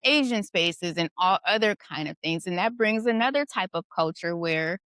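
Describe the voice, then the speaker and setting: A woman's voice: lowish voice, expressive, moderate pace, a bit bright, clear, resonant tone, no room sound, clean studio sound. A middle-aged woman hosting a casual conversation, thoughtful and questioning, often adding "you know" and "right". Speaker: podcast host.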